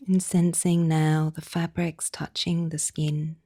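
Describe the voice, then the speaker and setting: Very slow, hushed low-voiced woman guiding meditation. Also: flat delivery, dry recording, clean studio sound. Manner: soft, breathy, soothing instruction with long pauses. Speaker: yoga nidra guide. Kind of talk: guided meditation narration.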